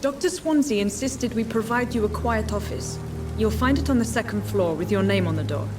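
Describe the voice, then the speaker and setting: A woman playing a no-nonsense hospital nurse in a video game, with a brisk, formal, slightly curt manner. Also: medium pitch, animated, close to an everyday voice. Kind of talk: dramatic video game acting. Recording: slightly distant, music behind.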